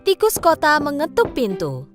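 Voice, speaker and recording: High, animated woman storyteller. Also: normal speed. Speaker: narrator. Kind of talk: scripted children's story narration. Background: music.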